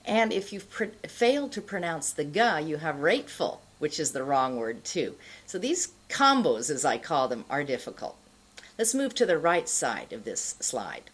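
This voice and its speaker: A middle-aged woman giving an instructional pronunciation lesson to camera, enunciating deliberately and carefully. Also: deep voice, big pitch swings, breathy edge. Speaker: pronunciation teacher.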